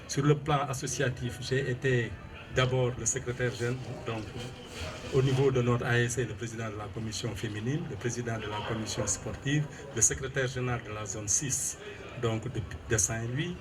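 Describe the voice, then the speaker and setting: A Senegalese man in his sixties giving a formal press statement, measured and hesitant with many fillers. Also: medium pitch, steady tone, crowd noise, rough recording. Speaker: retired civil administrator and local politician. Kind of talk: press statement answering an interviewer.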